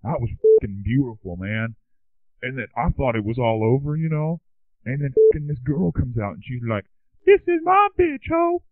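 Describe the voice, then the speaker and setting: Male stoner regular, rambling drawl, lively pitch, tinny phone sound. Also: moderate pace, no room sound. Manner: laid-back stoner drawl, constant "dude" and "man". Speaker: recurring guest. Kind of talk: rambling casual storytelling.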